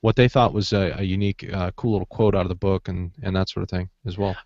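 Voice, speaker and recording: A man on a podcast panel chatting casually, with a halting, deadpan delivery. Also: sits low, no room sound, muffled recording. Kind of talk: casual chat.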